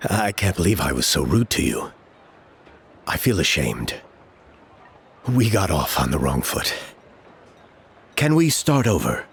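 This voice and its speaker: A man's voice: sits low, sing-song, husky, heavily acted. A stern elder father. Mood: apologetic. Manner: measured, formal delivery. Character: stern, protective middle-aged elder father.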